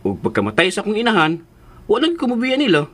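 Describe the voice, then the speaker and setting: A good-natured household helper with a raspy voice that sits slightly high. His delivery bounces up and down, colloquial and teasing, in radio drama style. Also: huge pitch swings, fast talker, a bit bright, full character voice. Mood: humble. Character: loyal, humble household helper, teasing uncle figure.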